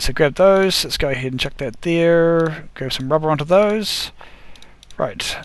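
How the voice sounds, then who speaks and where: A man casually talking through his game build step by step, often correcting himself, his voice a little worn. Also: on the high side. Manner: rambling, self-correcting, talks through each step. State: losing his voice. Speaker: gaming video host. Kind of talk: casual gameplay commentary.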